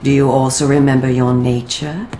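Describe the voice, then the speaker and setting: An actress playing a composed, courteous vampire woman in a video game, speaking in a formal, measured, solemn way. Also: extremely low pitch, flat delivery, mellow, a little hiss or hum. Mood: solemn, knowing.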